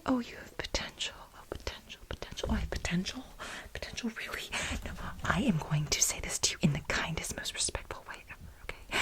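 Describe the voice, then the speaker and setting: A woman acts out a frantic female office assistant with a grievance, in an ASMR role play. Her voice is fairly high and breathy, mostly dropped to a whisper. She pleads and stammers, repeating words for melodramatic emphasis. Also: a little mellow. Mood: mocking.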